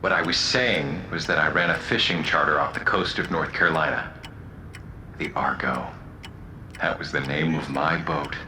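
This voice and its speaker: A man playing a cunning, taunting telepathic convict in an audio drama, with a deliberate, theatrical and mocking delivery. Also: extremely low pitch, a little hiss or hum, full character voice. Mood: reflective. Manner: theatrical, deliberate, mocking, swinging between calm and outburst. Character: cunning, taunting telepathic male convict pleading his appeal.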